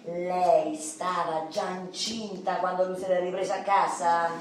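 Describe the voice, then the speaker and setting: An actress playing a knowing, sharp-tongued Roman gossip in a stage radio drama, insinuating and animated in dialect. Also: female voice, fairly low pitch, unhurried, a crowd in the background, natural voice. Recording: very echoey, distant stage recording.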